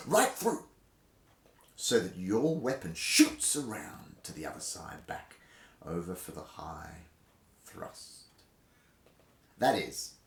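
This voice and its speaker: Male reader-host, theatrical and emphatic, highly animated pitch, dramatic pauses, echoey room. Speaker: host reading aloud from a historical treatise. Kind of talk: casual intro, then dramatic reading of an old fencing manual. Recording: roomy, echoing indoor sound.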